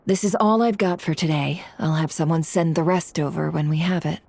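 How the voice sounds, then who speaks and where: A woman voicing a cool, sardonic, detached dealer in secrets in a video game, with a husky, dry delivery. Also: low voice, a little fast, a little mellow, no echo. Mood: nonchalant.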